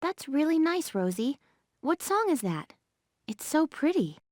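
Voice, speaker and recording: An actress playing a spirited, earnest young female soldier in a game, youthful and expressive. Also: high voice, a little slow, no echo, clean studio sound. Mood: admiring.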